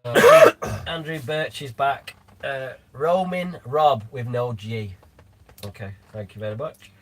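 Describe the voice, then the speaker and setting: A man's voice: mid-range voice, big pitch swings, slow pace, faint music behind, rough recording. A man with a West Lancashire accent co-hosting a casual live stream, reading out viewer comments with dry banter and casual swearing.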